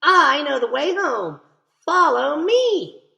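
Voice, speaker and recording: Storybook little girl played by a man: confident, reassuring, creaky high voice with swooping pitch. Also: sing-song, takes it slowly, pushed and bright, reverberant, heavily acted. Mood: bright, assured. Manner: assured, reassuring.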